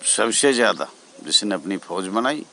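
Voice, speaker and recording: An older man giving an informal address as an association leader, exhorting his fellow members in halting, repetitive phrases. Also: on the low side, sing-song, fairly bright tone, a little hiss or hum. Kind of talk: informal spoken address to fellow home guards.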